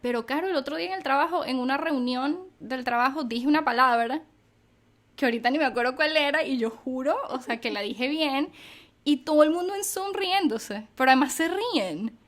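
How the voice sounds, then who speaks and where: A woman's voice: sits high, a little fast. A young woman hosting an interview, chatting casually and affirming her guest as she listens. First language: Spanish. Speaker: podcast host.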